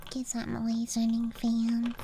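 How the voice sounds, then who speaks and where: A woman doing a whispered ASMR show-and-tell, murmuring softly and breathily to the listener in an unhurried way, as the host. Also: fairly high pitch, completely flat delivery. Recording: faint background noise, outdoor night ambience. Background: faint rural night ambience sounds.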